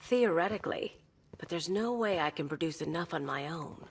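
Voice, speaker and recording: A woman playing an aloof, seductive plant villainess in a video game, sly and sardonic, with a husky, breathy voice. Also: on the low side, lively intonation, normal speed, a little hiss or hum, natural voice. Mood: cool, sly. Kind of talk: video game cutscene acting.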